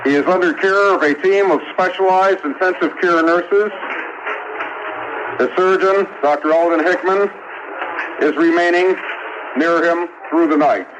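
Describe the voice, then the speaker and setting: A man giving a formal medical statement as a spokesman, in careful, halting phrases. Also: high-pitched. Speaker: hospital spokesman. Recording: muffled, noisy archival press feed.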